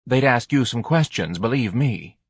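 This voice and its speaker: A man's voice: medium pitch, lively intonation, moderate pace, husky, no echo, studio quality. A man voicing a self-righteous, melodramatic middle-aged wife in an audiobook, nagging and reproachful. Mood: accusing. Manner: indignant, reproachful, dramatic.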